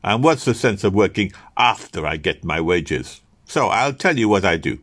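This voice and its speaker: A man's voice: sits low, big pitch swings, moderate pace, a bit bright, full character voice. A man voicing a cheeky young male waiter who boasts breezily about his scheme. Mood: boastful.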